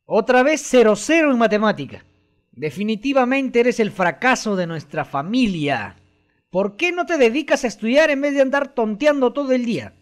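A man's voice: very high voice, sing-song, normal speed. A man playing a sarcastic, scolding and mocking character in an educational radio play. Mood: indignant, scolding. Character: scornful, mocking man, harsh scolder and tempter. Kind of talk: educational radio soap acting.

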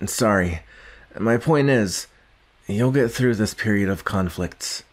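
A young man playing a gruff, guarded but secretly caring villain in an ASMR roleplay, speaking haltingly with sighs and grudging tenderness. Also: lowish voice. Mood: grudgingly tender. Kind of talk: ASMR roleplay addressed to the listener.

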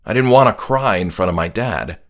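A man reading his own horror story as dramatic first-person audiobook narration, measured and somber. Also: on the low side, expressive, fairly bright tone.

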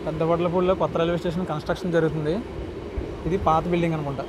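Male travel vlogger, Andhra Telugu accent, high-pitched and chatty, casual delivery over clear train and outdoor noise. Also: little pitch movement, racing. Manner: chatty, addresses viewers directly. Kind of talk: casual travel vlog commentary. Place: on board a moving train. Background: train rumble and outdoor ambience.

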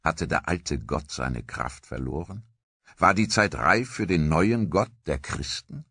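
A man narrating a history documentary in a measured, scripted storytelling manner. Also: very deep voice, lively intonation, a bit bright, breathy edge, dry recording, studio quality.

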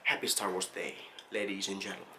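A young adult man talking casually to camera as a tutorial host, in an animated, jokey, excitable manner. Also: deep voice, fast talker, background noise. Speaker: host of video-effects tutorials. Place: small indoor studio room.

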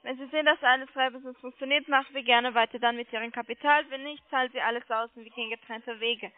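A woman telemarketer making a sales pitch over the phone, persistent and polite, coaxing with check-back questions. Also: fairly even intonation, quick pace, dry recording. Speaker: telemarketing caller selling online trading. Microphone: telephone call. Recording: muffled phone line.

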